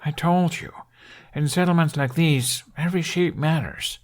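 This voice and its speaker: A man's voice: on the high side, moderate pace, voice pushed up, lightly acted. A man voicing an exasperated, sarcastic young male traveller with a dramatic way of talking in a storybook.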